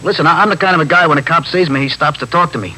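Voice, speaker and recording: A man's voice: on the high side, racing, bright, forceful tone, rough recording. A man playing a defensive, street-smart small-time crook in a 1950s radio drama, full of slangy, evasive back talk. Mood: irritated, cocky. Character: defensive street-smart small-time male drug pusher, cocky and irritable.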